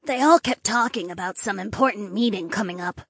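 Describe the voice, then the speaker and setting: A woman's voice: medium pitch, brisk, vocal fry, a bit bright, slightly rough, no echo, clean studio sound. A woman voicing a young lynx-folk boy, frank and curious with a direct boyish manner, in a fantasy audiobook. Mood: frowning, matter-of-fact.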